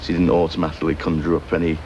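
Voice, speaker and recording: A man with a deep, low voice answers questions as a dating show contestant. He talks in a dry, wry, understated way. Clear noise sits behind him. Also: completely flat delivery, racing, warm tone, lo-fi sound. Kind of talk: interview answers.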